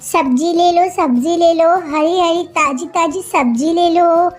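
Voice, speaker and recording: Woman voicing a high-pitched feisty little female sparrow. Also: unhurried, soft background music. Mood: cheerful, eager. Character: feisty female sparrow vegetable seller, quick to scold. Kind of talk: Hindi kids' cartoon character voice.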